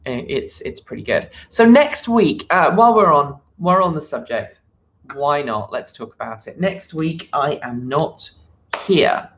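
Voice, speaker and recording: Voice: mid-range voice, lively intonation, measured pace, fairly bright tone. A live stream host chatting casually and unscripted, rambling and thinking aloud. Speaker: channel host.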